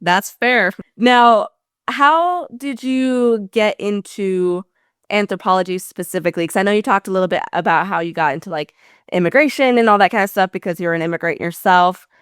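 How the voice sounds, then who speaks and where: A woman's voice: medium pitch, moderate pace, a bit bright, clear, resonant tone, no echo, clean studio sound. A young adult woman hosting a podcast interview in casual, informal chat, affirming what her guest says. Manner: informal, validating. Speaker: podcast host.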